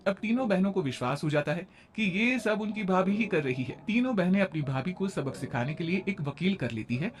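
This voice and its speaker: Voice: medium pitch, expressive, a little fast, few pauses, slight background noise. A narrator reading a scripted family moral story.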